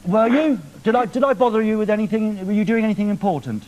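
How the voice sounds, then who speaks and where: A man's voice: high-pitched, fast talker, darkish tone, slight background noise, rough recording. A man hosting a live TV show, teasing a caller on the phone with cheeky questions. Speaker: TV presenter. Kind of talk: live TV prank phone call. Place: TV studio.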